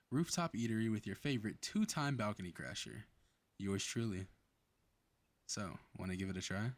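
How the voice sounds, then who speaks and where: A man playing a cocky, playful superhero in a flirty ASMR roleplay audio drama, with casual teasing banter. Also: fairly low pitch.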